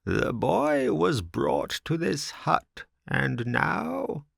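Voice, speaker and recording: A man voicing an old man sitting by his hut, with a slow, drawn-out, creaky delivery. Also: a little high, huge pitch swings, no room sound, studio quality, voice pushed up, exaggerated voice. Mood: calm, slow.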